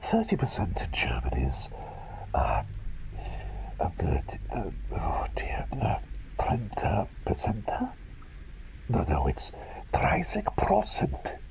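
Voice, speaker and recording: A man voicing an eccentric, doting plague doctor in a breathy, hesitant, soothing whisper for an ASMR roleplay. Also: sits low, huge pitch swings, slow pace, long pauses, full character voice, low-quality recording. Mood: instructive, fussy. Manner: hesitant, muttering, gently soothing and coaxing.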